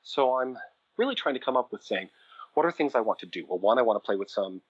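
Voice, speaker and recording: A man's voice: mid-range voice, expressive, fast, husky, no echo, muffled recording. A man who writes and produces audio dramas, a guest on a podcast, explaining his process thoughtfully with frequent restarts. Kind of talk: casual interview chat.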